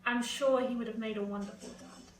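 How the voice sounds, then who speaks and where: Woman acting gentle young daughter, higher voice, echoey. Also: fairly even intonation, brisk, close to an everyday voice. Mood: curious, tender. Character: grown-up daughter, gentle, grateful, reassuring. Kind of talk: amateur stage-play acting, naturalistic dialogue. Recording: roomy, slightly noisy, distant home recording.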